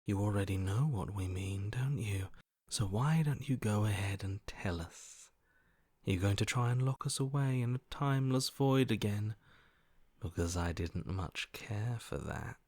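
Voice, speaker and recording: A cold, slow, menacing voice. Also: male voice, on the low side, breathy edge, no room sound, clean studio sound. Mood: cold menace. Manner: deadpan, deliberate, hushed. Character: cold, detached, menacing inner voice, calmly violent. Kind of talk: video game character acting.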